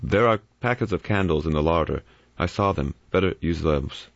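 A man reading aloud, voicing an elderly judge who speaks softly and in a measured way. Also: low voice, creaky, dry recording. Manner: soft, measured. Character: old judge, gentle and reptilian. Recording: slightly muffled dry recording.

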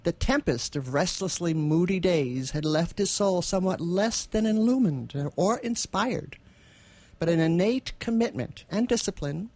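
A woman co-hosting a radio theatre show, chatting casually and reading literary prose in a measured, expressive way. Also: on the low side.

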